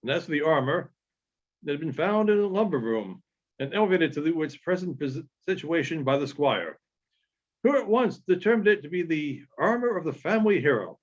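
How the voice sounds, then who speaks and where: A man reading literary narration aloud in a halting way, with frequent pauses and small stumbles. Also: a little high, animated. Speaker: audiobook reader. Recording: dull, lo-fi sound.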